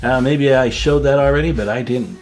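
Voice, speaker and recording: A man's voice: medium pitch, normal speed, darkish tone, soft background music. A man giving a casual, hands-on demonstration as a repair technician, informal and folksy, thinking aloud as he works indoors at a test bench. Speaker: radio repair technician and video host.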